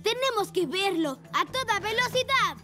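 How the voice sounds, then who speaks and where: Excitable, very high kid motorbike. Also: normal speed. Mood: excited. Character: enthusiastic, movie-loving young motorcycle child. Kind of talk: animated children's cartoon dubbing. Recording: music behind the voice.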